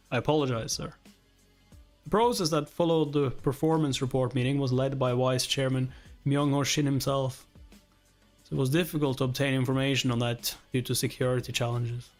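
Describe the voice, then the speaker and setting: A man's voice: mid-range voice, fairly even intonation, a little fast, close to an everyday voice. A man acts out a stammering male office subordinate from a webtoon, hesitant and eager to apologize. He speaks deferentially to his superior, with faint music underneath. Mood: flustered.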